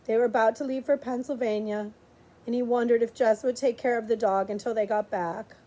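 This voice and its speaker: A woman reading a storybook aloud in an expressive, dramatic way with drawn-out pauses. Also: a little high, rough recording. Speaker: audiobook reader, librarian.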